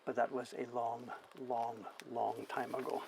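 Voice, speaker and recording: Gravelly older Canadian man, chatting. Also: medium pitch, completely flat delivery, measured pace, background noise. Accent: generic Canadian. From Canadian prairies, born in England. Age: 70s. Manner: rambling, musing, self-questioning. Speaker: printmaker hosting a studio stream. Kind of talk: casual reminiscing chat while working. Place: workshop.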